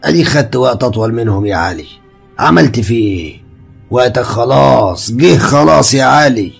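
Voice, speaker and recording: An actor with an Egyptian accent playing a menacing male fortune teller who talks in a quick, taunting way. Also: mid-range voice, animated, gravelly, husky, faint music behind.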